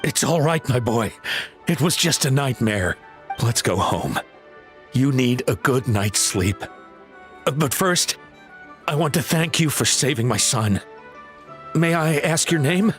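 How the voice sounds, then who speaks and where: An actor playing a devoted father in a video game, speaking earnestly, politely and gently. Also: mid-range voice, lively intonation, unhurried, long pauses, faint music behind, natural voice. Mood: reassuring, grateful. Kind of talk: scripted video game voice acting.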